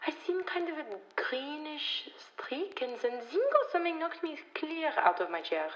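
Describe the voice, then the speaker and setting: A woman playing a rustic vineyard owner giving a halting, folksy eyewitness account in a radio-style stage play. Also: sits high, very animated, fairly bright tone. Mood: rambling, puzzled. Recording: dull, echoey stage recording.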